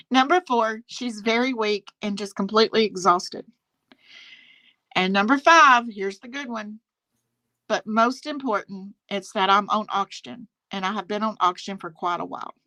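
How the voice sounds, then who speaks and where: Woman, Southern American accent, stream host, bright tone, emphatic. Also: medium pitch, a little slow, long pauses, rough recording. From Southern United States. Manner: emphatic, repeats phrases for stress. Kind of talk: casual drama commentary chat. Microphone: phone on speakerphone.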